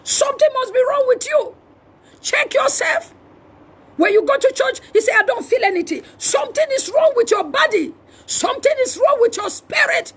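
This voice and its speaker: Shrill, shouting Nigerian woman preacher. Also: animated, moderate pace. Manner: forceful exhorting with emphatic repeated phrases; shouting delivery. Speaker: preacher and prophet. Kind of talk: fiery charismatic sermon.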